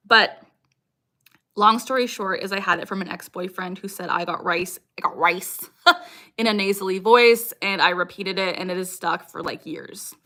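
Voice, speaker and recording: A woman's voice: mid-range voice, moderate pace, pushed and bright. A young adult woman hosting a casual livestream Q&A, speaking frankly and informally, with sudden repeated vocal tics breaking into her talk. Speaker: livestream host, content creator. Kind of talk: casual livestream Q&A chat, answering viewer comments.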